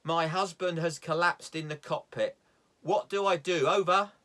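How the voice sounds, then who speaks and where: A man's voice: fairly high pitch, fairly even intonation, measured pace, a bit bright, pitched above the performer's own voice. A man with a British accent playing a frightened, inexperienced wife making an urgent radio distress call in simple phrases, as a demonstration. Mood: urgent, pleading.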